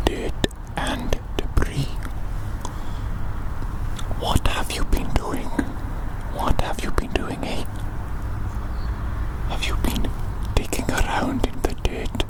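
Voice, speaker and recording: This is an ASMR roleplayer who speaks entirely in a hushed, breathy whisper. The delivery is gentle and repeats short phrases, addressing the listener like a little creature in a playful roleplay. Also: slow, long pauses, rough recording.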